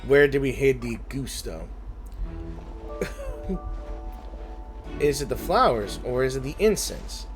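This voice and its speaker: A man's voice: mid-range voice, wildly swooping pitch, slow pace, pauses often, rough recording. A man giving casual gameplay commentary, informally musing to himself. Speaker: gaming video host. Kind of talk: casual gameplay commentary, reading dialogue aloud. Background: faint game music.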